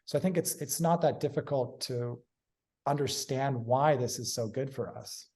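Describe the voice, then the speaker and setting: A man's voice: mid-range voice, normal speed, a little mellow. A man from Hong Kong, a guest in an interview, giving earnest, articulate explanations. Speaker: guest, urban agriculture consultant. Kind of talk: interview answers.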